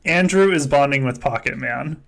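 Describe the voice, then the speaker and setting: A man's voice: mid-range voice, normal speed, vocal fry, fairly bright tone. A man hosting a game stream in casual, joking chat, with wry, profane, ranting asides.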